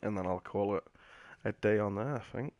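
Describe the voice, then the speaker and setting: A man's voice: lowish voice, normal speed, a little mellow, a little hiss or hum. A British man giving casual, chatty, rambling commentary while streaming a video game. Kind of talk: casual gameplay commentary with banter. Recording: game music and sounds behind. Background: video game music.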